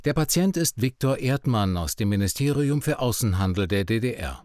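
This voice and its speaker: A man reading a scripted radio drama recap as announcer, in a measured, factual manner. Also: medium pitch, expressive, a little mellow, no room sound, clean studio sound.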